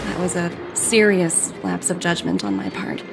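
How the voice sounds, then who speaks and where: A woman's voice: fairly low pitch, sing-song, moderate pace. A woman playing a poised, shrewd corporate executive in a video game, with articulate, formal, businesslike delivery. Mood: admiring, reflective. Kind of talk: scripted video game cutscene acting. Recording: loud game music behind the voice. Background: music.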